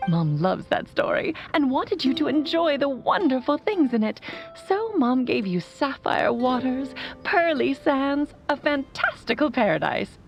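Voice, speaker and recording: A woman's voice: sits high, sing-song, unhurried, full character voice. A woman playing a loving, whimsical mother in a video game, speaking in a tender, lyrical, theatrical way. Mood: wistful, tender. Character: loving whimsical mother, long-lived mage, playful and wistful. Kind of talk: video game voice acting, storytelling monologue. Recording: slightly muffled, music behind. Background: background music.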